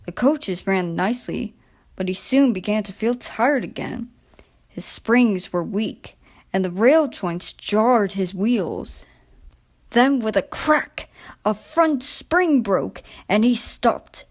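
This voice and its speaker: A woman narrating a children's story from a script in an expressive, deliberate storytelling manner. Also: medium pitch.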